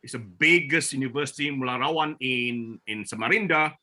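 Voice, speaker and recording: A man from Borneo presenting at a forum over a video call, speaking haltingly with many restarts and fillers. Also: mid-range voice, bright, forceful tone. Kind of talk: online presentation talk.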